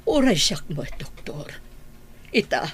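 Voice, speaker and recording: An actress with an Ilocano accent playing a sickly, sorrowful old mother, breathy and often whispering, in a radio drama. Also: deep voice, big pitch swings, slow pace, a crowd in the background, exaggerated voice. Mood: weary, longing. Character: sickly, sorrowful elderly mother, weary and longing. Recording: noisy ambience under voice.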